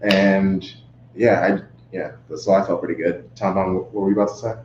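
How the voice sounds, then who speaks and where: Low male voice in a roomy, dull recording. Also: fairly even intonation, moderate pace, darkish tone. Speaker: podcast guest, football fan. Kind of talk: casual sports podcast chat. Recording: roomy, dull sound with faint noise.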